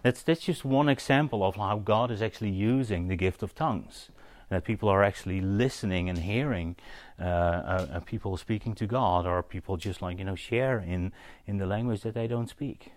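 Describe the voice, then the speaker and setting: A man giving an informal, story-led sermon as a preacher, halting and conversational, often checking in with his listeners. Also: fairly low pitch, no room sound. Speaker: preacher teaching on spiritual gifts.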